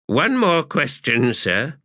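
A man playing a high-pitched, inquisitive subordinate officer. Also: sing-song, takes it slowly, pushed and bright, no echo, clean studio sound, full character voice. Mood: probing. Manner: polite, inquisitive, puzzled. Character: questioning, deferential male military subordinate.

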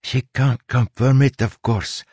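A man's voice: mid-range voice, fairly even intonation, a little slow, darkish tone, husky, dry recording, voice pushed up. A man voicing a stern, straightforward sailor woman in an audiobook, with urgent, persuasive delivery. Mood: conspiratorial.

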